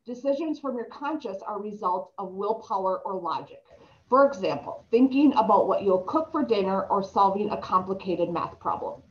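A woman's voice: mid-range voice, lively intonation, normal speed. Young woman presenter on a video call, slight lisp, reads slides aloud with stumbles, somewhat dull laptop-mic sound. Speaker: presenter reading an article aloud.

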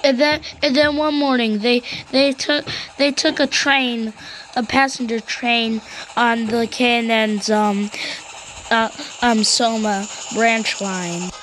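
Girl or woman with a high voice telling a made up train story, keeps stumbling and restarting words. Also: steady tone, a bit bright, slight background noise, low-quality recording. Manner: halting, stammering, repeats and restarts words. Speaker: storyteller and host of a hobby cartoon series. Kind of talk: improvised storytelling for a train cartoon.

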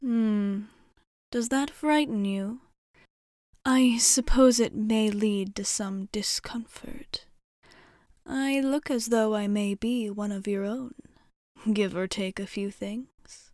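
A woman playing a poised, worldly female vampire bartender in an ASMR roleplay, speaking in a measured, formal, teasing way with whispery breathiness. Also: mid-range voice, darkish tone, no echo, clean studio sound, close to an everyday voice. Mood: reassuring, intimate.

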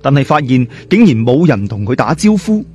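A man's voice: mid-range voice, very animated, normal speed, a little mellow, soft background music. A man telling a ghost story in a dramatic, colloquial and suspenseful way.

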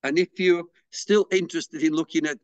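Soft-spoken man explaining a craft. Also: a little high, steady tone, normal speed, no room sound. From England. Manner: hesitant, with fillers and restarts. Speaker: pysanky artist and interviewee. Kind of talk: unhurried explanatory interview talk.

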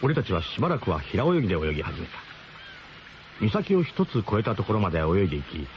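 A man's voice: lowish voice, wildly swooping pitch, a little fast, dull audio, slight background noise. A man narrating a radio drama in the first person, with a literary storytelling delivery. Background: music.

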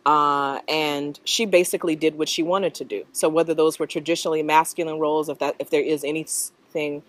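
A middle-aged American woman answering interview questions reflectively, in a thoughtful, halting manner that often checks in with the listener. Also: low-pitched, steady tone. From North Carolina, US. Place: outdoors in a leafy park.

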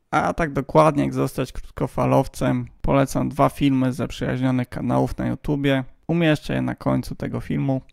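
A man's voice: medium pitch, vocal fry, darkish tone, dry recording, clean studio sound. A man giving a casual, playful, tongue-in-cheek explainer voice-over as a hobbyist video host.

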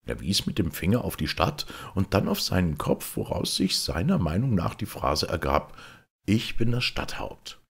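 Deep, gravelly, animated male storyteller. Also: normal speed, few pauses. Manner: expressive storytelling. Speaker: audiobook narrator. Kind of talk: scripted literary narration.

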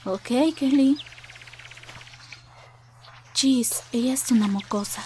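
A woman voicing a composed female animal military envoy in an animated fan dub, formal and measured. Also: high-pitched, slight background noise. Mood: dismissive.

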